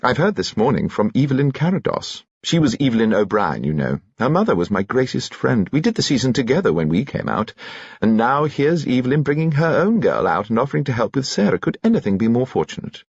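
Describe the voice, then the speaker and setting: A man's voice: medium pitch, big pitch swings, husky, no echo, highs cut off, voice pushed up. A man voicing a spirited, teasing elderly society mother who talks emphatically. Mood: playful. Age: older. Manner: brisk, emphatic, playful.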